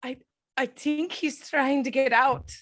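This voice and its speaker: A woman's voice: high voice, steady tone, unhurried, a bit bright. A woman acting an earnest, distressed young woman at an action script table read. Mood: nervous.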